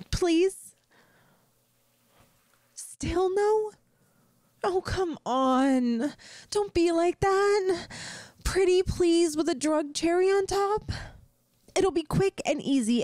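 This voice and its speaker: A woman's voice: high-pitched, a little mellow, no echo, clean studio sound. A woman playing a bubbly, inept, lovestruck young yandere student in an audio roleplay, rambling and pleading dramatically with the listener. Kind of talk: scripted ASMR audio roleplay, addressing the listener.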